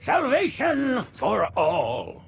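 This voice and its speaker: Game role: pious elderly alien prophet, high for a man, creaky, grandiose and swooping. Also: huge pitch swings, extremely slow, music in the background, exaggerated voice. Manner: grandiose, preaching. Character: sanctimonious old male alien prophet. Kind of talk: cinematic game voice acting.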